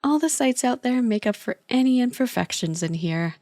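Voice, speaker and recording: A woman's voice: a little high, expressive, normal speed, mellow, no echo, clean studio sound. A woman playing a friend's teasing older sister in a casual, intimate ASMR roleplay, chatty, playful and reassuring. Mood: pleased, upbeat.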